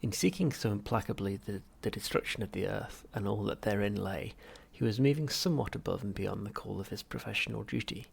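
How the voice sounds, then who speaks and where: A man's voice: lowish voice, fairly quick, gravelly, hardly any pauses, husky. A man reading comic science fiction aloud as scripted audiobook narration. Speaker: audiobook reader.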